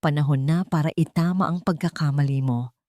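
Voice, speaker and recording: A man's voice: fairly high pitch, brisk, darkish tone, dry recording, lightly acted. A woman voicing a stern older father, a wealthy patriarch who speaks in a hard, commanding, emotionless way in a dramatic story.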